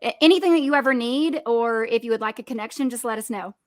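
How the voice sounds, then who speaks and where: A woman's voice: fairly high pitch, fast, no echo, clean studio sound. A woman speaking as a podcast guest, giving encouraging, conversational business advice with emphatic repetition.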